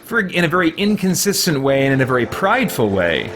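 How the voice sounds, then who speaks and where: A man's voice: fairly high pitch, sing-song, quick pace, fairly bright tone, a little hiss or hum. A middle-aged man giving casual let's play commentary, musing and thinking aloud as he goes. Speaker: gaming video host. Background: music.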